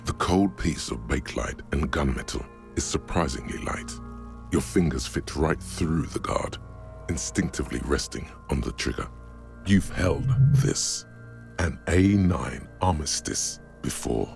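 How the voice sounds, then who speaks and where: A man narrates in the second person with slow, ominous weight, as in a story-driven game. His voice is extremely low and gravelly, with a faint music bed underneath. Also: darkish tone. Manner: measured, ominous, literary.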